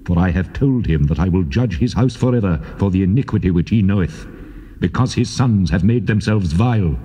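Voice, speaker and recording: An actor playing God in a dramatized Bible, grave and measured, pronouncing stern judgement. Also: male voice, lowish voice, mellow, slightly rough. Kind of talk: dramatized Bible audio acting. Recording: long cavernous reverb.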